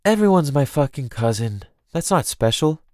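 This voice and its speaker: Man voicing a languid, theatrical young noblewoman, lilting high. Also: big pitch swings, moderate pace, a little mellow, no room sound, clean studio sound. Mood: playfully dramatic. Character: languid, teasing, self-dramatizing young noblewoman cousin. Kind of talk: audiobook character dialogue.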